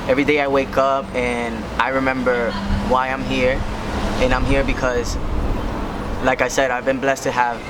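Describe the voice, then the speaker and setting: A man's voice: medium pitch, flat delivery, normal speed. A man speaking earnestly in a street interview about his family. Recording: loud traffic noise behind.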